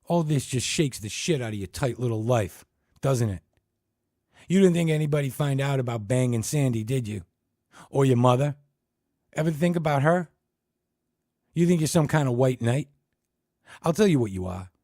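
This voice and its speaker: A man voicing a mocking, aggressive teenage boy who taunts loudly and crudely. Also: mid-range voice, animated, moderate pace, long pauses, dry recording, studio quality, pitched above the performer's own voice, lightly acted. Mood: taunting.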